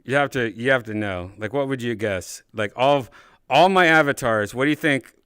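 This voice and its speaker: A man hosting a podcast, teasing and joking in rambling deadpan chat while hanging out in a virtual world. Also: medium pitch, fairly quick, smooth, no echo, clean studio sound. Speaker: podcast host.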